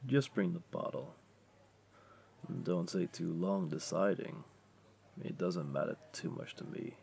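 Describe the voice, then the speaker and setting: A flirty male jaguar beastling played by a man lounges through a tavern roleplay in a very low, creaky voice. His delivery is playful and coaxing, swinging widely in pitch as he teases the listener. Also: lively intonation, darkish tone, full character voice. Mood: relaxed, inviting. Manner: playful, coaxing, unhurried teasing. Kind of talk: roleplay audio drama, speaking directly to listener.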